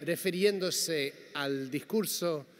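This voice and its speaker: A man giving a prepared, measured talk as a panel speaker, reading carefully and quoting passages, speaking Spanish as a to a conference audience. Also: fairly high pitch. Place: large conference hall. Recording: long hall reverb, faint background noise.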